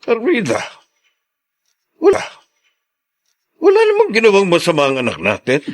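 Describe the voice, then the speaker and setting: A man's voice: sits high, huge pitch swings, moderate pace. A Filipino actor playing a mild middle-aged father, hesitant and placating, in radio drama style. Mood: pleading. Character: mild, gentle, peacemaking father.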